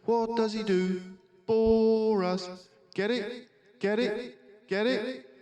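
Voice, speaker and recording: A man's voice: high-pitched, steady tone, drawn-out words, mellow, voice pushed up. A man voicing teasing young badger classmates in a storybook with sing-song taunts. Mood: mocking.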